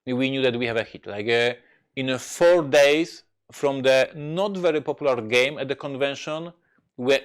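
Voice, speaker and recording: Clear-voiced non-native man telling stories. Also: medium pitch, fairly bright tone. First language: not English. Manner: halting, emphatic storyteller with repeated words. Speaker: board game designer and presenter. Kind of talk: live-streamed anecdotes and chat questions.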